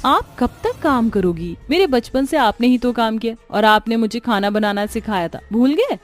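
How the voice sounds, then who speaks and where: A woman's voice: fairly high pitch, lively intonation, moderate pace, faint music behind, lightly acted. A woman playing a caring, curious twenty-year-old daughter in a Hindi cartoon story, with a coaxing, questioning manner. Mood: affectionate, coaxing.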